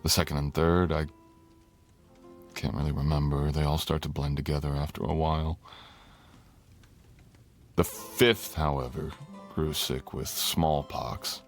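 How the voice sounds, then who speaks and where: A man's voice: rumbling low, fairly even intonation, a little slow, gravelly, pauses often, heavily acted. A man playing a courtly, teasing, tender dragon king in human form, speaking intimately as a lover in an ASMR roleplay. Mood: candid, wry. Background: music.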